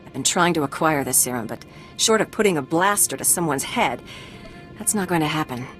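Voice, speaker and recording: An actress playing a dedicated, earnest and wry scientist in a video game, precise and formal, with music behind. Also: female voice, low voice, expressive, brisk. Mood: formal, informative.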